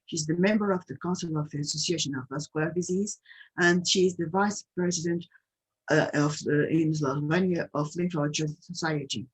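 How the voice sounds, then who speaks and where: A woman from Portugal with a Portuguese accent, hosting a webinar as a patient advocate and speaking in halting English with many fillers and restarts. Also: deep voice, steady tone, breathy edge, poor audio. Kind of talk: webinar presentation.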